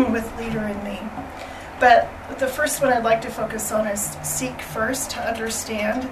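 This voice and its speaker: A woman with a voice going hoarse gives a friendly, chatty slide presentation at a school board meeting, with many ums and throat clearing. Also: a little high. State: losing her voice, hoarse. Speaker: elementary school staff presenter. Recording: distant room mic with steady noise.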